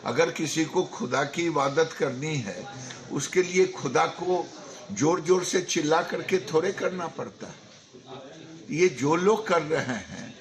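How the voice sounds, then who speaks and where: A male politician answering reporters unhurriedly, a few halting words at a time, in a lightly raised pitch. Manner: halting short phrases. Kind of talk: sound bite to media.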